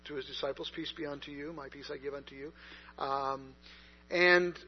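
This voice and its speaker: Guy preaching off the cuff, lots of ums and restarts, slightly high voice, muffled recording with hum. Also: fairly even intonation, normal speed. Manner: conversational, many fillers and restarts. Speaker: pastor. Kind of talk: sermon. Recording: dull lo-fi recording with mains hum.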